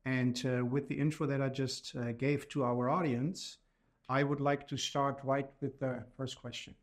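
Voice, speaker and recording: German guy, middle aged or older, hosting a podcast, kinda formal and measured, mid-range voice. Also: mid-range voice, little pitch movement. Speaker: podcast host. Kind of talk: podcast introduction and interview questions.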